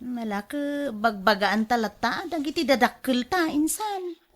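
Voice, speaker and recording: A woman's voice: fairly high pitch, measured pace, close to an everyday voice. A woman acting an assertive younger woman in a family radio drama, speaking emphatically with exclamations. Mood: friendly, teasing.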